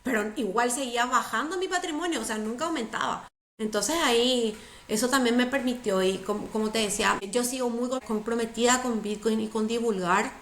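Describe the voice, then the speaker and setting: A middle-aged woman talks earnestly as an interview guest and repeats key words for emphasis. Her voice sits a little high for a woman. The room around her gives a clear echo. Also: brisk, a bit bright. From Paraguay. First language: Spanish. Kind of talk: conversational interview.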